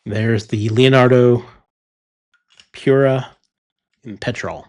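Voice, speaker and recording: A man hosting his fountain pen channel, talking through a pen review in a relaxed way with many ums and uhs. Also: mid-range voice, takes it slowly. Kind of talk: casual product review.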